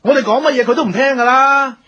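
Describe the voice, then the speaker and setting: An actor playing an impatient, hot-headed youngest brother, blunt and fed up in a radio drama. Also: male voice, very high voice, steady tone, fast talker, sharp tone. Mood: exasperated. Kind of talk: Cantonese radio drama acting. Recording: dull radio drama recording, music behind.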